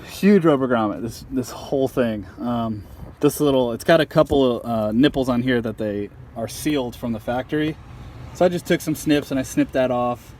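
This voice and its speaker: A man giving an informal, halting how-to demonstration, thinking aloud as he goes. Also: medium pitch, darkish tone. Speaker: amateur truck owner showing a DIY install. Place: outdoors at a truck. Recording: handheld outdoor recording with clear background noise.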